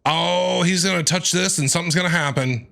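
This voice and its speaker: A man's voice: fairly high pitch, a little fast, vocal fry, sharp tone. A man doing casual game reaction commentary, exclamatory and playfully exasperated with the characters as he streams.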